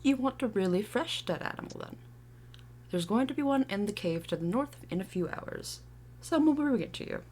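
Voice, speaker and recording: A woman puts on an enchanted tree spirit for a fantasy drama. It talks bluntly and informally in an attempted British accent. The pitch moves around a lot. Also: very animated, measured pace, long pauses, fairly bright tone, slight background noise, full character voice. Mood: brisk, knowing.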